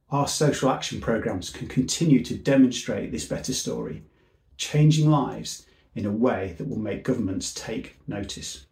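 Guy w a gravelly mellow voice giving an earnest seminar talk, echoey room. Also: medium pitch. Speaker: civil servant.

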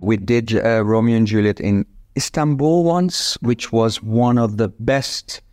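A man, a former ballet dancer who now teaches, talking in a reflective conversation, halting often and restarting his sentences. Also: medium pitch, darkish tone, dry recording, studio quality, faint music behind. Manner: halting, with frequent restarts and laughs.

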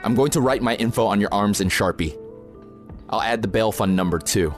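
A man's voice: lowish voice, fairly quick, faint music behind, lightly acted. A man playing an experienced, protective protest organizer in an audio drama, plain-spoken, informal and matter-of-fact. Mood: practical, cautionary. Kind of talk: naturalistic audio drama acting.

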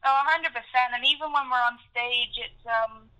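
A woman's voice: fairly high pitch, moderate pace, bright, forceful tone, clean tone. A woman musician chatting casually in an interview over a dull telephone line. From grew up in Africa and Indonesia. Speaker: band musician being interviewed. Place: phone call.

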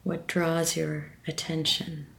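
A woman leading a guided meditation with a gentle, soothing manner and measured phrasing. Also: low voice, completely flat delivery, vocal fry, poor audio.

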